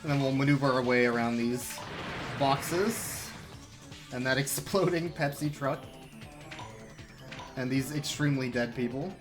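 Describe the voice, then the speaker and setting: A man's voice: medium pitch, measured pace, long pauses. A young man casually commentating his speedrun of a game, explaining tricks with wry, self-mocking asides. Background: game music.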